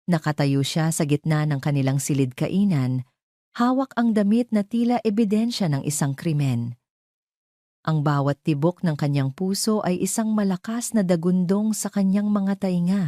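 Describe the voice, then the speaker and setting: A woman narrates a scripted drama in a low register. Her tone is soft-edged and mellow, delivered as dramatic storytelling. The sound is close and dry, with almost no room in it. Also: dry recording.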